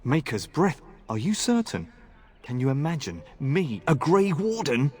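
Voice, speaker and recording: An actor playing an earnest, eager and courteous young knight in a fantasy video game. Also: male voice, a little high, very animated, a little slow, darkish tone, slightly rough, exaggerated voice. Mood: excited. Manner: polite, boyishly earnest.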